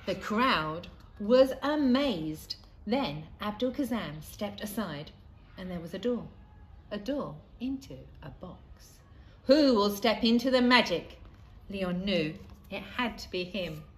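A middle-aged woman reading a children's storybook aloud expressively, with deliberate, dramatic pauses. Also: mid-range voice, animated. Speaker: primary school staff member reading aloud. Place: outdoors in a leafy school playground. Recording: faint outdoor ambient noise.

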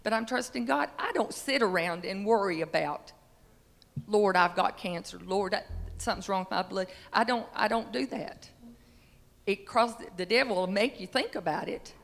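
A woman's voice: mid-range voice, a bit bright, faint music behind. Middle-aged woman testifying in reverberant hall. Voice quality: slightly raspy. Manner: earnest, halting, emphatic on key phrases. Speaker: church member giving testimony before singing. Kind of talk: personal faith testimony to a congregation. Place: large church sanctuary. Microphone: handheld microphone. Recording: reverberant church hall through handheld microphone.

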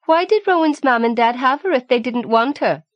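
A woman's voice: high voice, lively intonation, normal speed, dry recording, dull audio, voice pushed up. A woman voicing a spiteful schoolgirl bully who hisses and taunts in an audiobook story. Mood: taunting. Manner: hissing, taunting.

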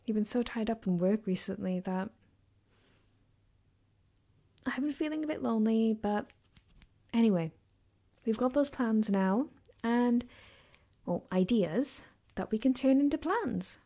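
A woman playing a caring, affectionate girlfriend in an ASMR comfort roleplay, speaking softly and gently with hesitant, reassuring warmth. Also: fairly high pitch, normal speed. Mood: tender. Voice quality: soft, gentle, sometimes dropping to a whisper.

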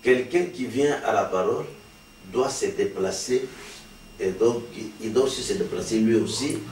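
Un pasteur d'âge mûr, originaire du Congo, qui explique la doctrine avec insistance et sérieux. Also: male voice, on the low side, normal speed, a little mellow. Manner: earnest, explanatory, emphatic. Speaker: pastor, guest. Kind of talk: sermon-like interview answers. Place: small studio with patterned wallpaper. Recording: roomy, distant, faint hiss.

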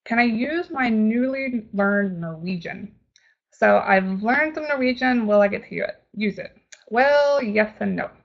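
A woman's voice: medium pitch, gravelly, dull audio. A woman with a Texas accent, a travel agent giving a friendly, chatty webinar presentation with frequent ums and restarts.

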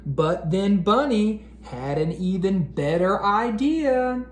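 An American man in his thirties, an educator doing an expressive, carefully enunciated read-aloud for children. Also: high-pitched, slow pace. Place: living room at home, indoors. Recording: roomy echo, clear background noise.

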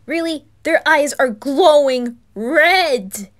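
A woman's voice: high-pitched, lively intonation, slow, fairly bright tone, pitched above the performer's own voice, exaggerated voice. A woman voicing nervous, skeptical young anime lads, good-hearted and casual, with a hesitant, exasperated manner. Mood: alarmed, exasperated. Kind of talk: anime fan roleplay ASMR.

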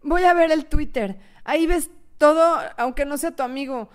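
Frank, high-voiced young woman friend, acted by a woman. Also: moderate pace, natural voice. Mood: puzzled, resolute. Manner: firm, advising. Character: caring, frank young female best friend. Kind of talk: radio drama acting.

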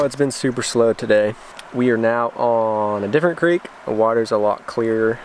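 A man in his twenties chatting casually in a laid-back, slangy way, vlogging outdoors into a handheld camera. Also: mid-range voice. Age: 20s. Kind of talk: casual outdoor vlog chat. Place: outdoors on a riverbank by a boat. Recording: clear outdoor background noise, boat and water.

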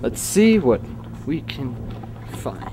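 A curious crew member, played by a man talks slowly while he films, his voice swinging widely. He exclaims at what he finds. Steady background noise runs under him. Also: medium pitch. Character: nosy crew member filming a documentary.

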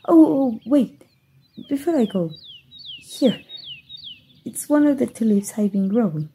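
A woman's voice: on the high side, lively intonation, unhurried, dark tone, a little hiss or hum. A woman voice actor voicing a playful, flustered young fairy in an ASMR roleplay, giggling and stammering shyly.

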